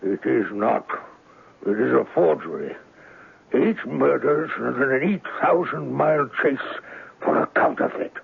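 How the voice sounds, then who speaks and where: An actor playing a huge, menacing crime boss in a radio drama, speaking formally and pompously in a gravelly, husky voice. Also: male voice, medium pitch, lively intonation, unhurried, full character voice. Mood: outraged. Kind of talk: radio drama acting, gangster parody. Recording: lo-fi old radio broadcast.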